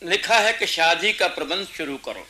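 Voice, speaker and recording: A man's voice: high-pitched, animated, normal speed, bright, forceful tone, heavily acted. An actor playing an evasive, irritable middle-aged father and husband in a radio drama, defensive and grumbling. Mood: grudging, evasive.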